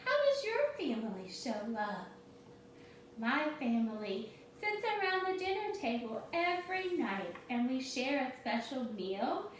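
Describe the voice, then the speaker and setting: High, singsong woman reading in an echoey room. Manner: expressive, addresses children directly, pausing to ask questions. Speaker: teacher reading a storybook to a class. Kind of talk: read-aloud story time for young children with questions to listeners. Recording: very echoey room, distant mic, faint noise.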